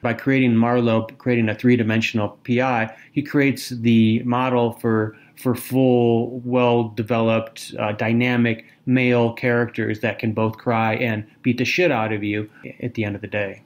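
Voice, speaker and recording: A man's voice: mid-range voice, little pitch movement, a little slow, few pauses. Thoughtful man co-hosting a book podcast. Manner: thoughtful, reads quotes aloud. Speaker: podcast co-host. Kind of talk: casual literary discussion.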